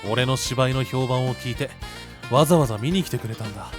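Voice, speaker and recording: A man playing a kind, perceptive high school boy who gently teases and reassures, in an anime-style voice drama. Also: a little fast, music in the background, close to an everyday voice. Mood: warm, modest.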